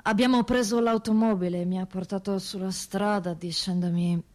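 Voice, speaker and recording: Actress as young migrant woman, measured and deliberate. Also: medium pitch, fairly even intonation, a little mellow, natural voice. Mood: sober recounting. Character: young migrant woman, eldest sister, trafficked, plainly recounting hardship. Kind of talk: dramatic monologue in radio theatre.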